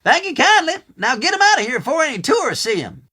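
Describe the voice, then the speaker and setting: A chatty small-town shopkeeper from a video game. He has a homespun American country drawl and a sharp, bright tone. His pitch leaps around in a very animated way. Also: heavily acted. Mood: bossy. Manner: folksy, drawn-out country talk. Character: folksy, chatty small-town male storekeeper fussing about mice. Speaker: storekeeper character. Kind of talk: video game character dialogue.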